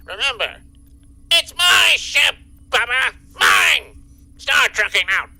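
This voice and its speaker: A nasty gloating sci-fi boss, super bright n shrill, crystal clear, theatrical scolding. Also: animated, a little slow. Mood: gloating, mock-weeping. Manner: scolding, mock-sorrowful, theatrical. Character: petty gloating greedy general manager boss. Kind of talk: comic sci-fi audio serial acting.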